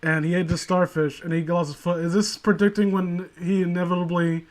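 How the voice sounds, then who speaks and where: Male co-host, slightly higher register, casual comedic riffing, sardonic and profane, loose rambling delivery. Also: little pitch movement, brisk, low-quality recording. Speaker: podcast co-host reading and mocking amateur horror stories.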